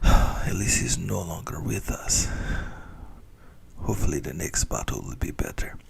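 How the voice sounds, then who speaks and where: A man voicing a possessive, centuries-old vampire lover in a whispered ASMR roleplay, smugly menacing and intimate, with a breathy, gravelly delivery. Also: sits low, animated, unhurried, heavily acted. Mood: bored, disdainful.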